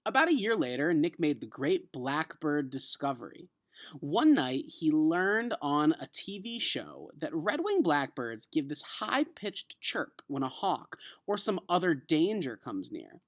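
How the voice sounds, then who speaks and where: A man with an American accent, an elementary school teacher reading a children's novel aloud and explaining it to a class in an expressive, emphatic way. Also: fairly high pitch, unhurried.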